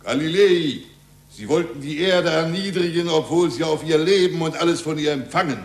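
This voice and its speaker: A man plays a self-important, indignant churchman. His voice sits on the high side and he declaims grandly, as in a 1940s radio play. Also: normal speed, natural voice. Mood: indignant, grandiose. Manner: pompous, declamatory. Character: indignant, self-important churchman defending an earth-centred universe.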